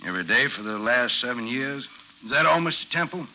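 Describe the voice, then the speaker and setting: A man's voice: mid-range voice, expressive, fast talker, sharp tone. A man acting in a 1950s radio drama as a cold, proud, obsessed ex-officer with a curt, commanding delivery. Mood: cold, impatient. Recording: noisy 1950s radio broadcast recording.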